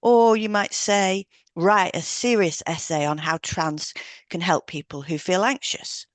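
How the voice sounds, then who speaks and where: A woman's voice: fairly low pitch, a little slow, no echo. A woman co-hosting a podcast, reading explanatory material in an instructional way with informal asides. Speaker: podcast co-host, hypnotherapist.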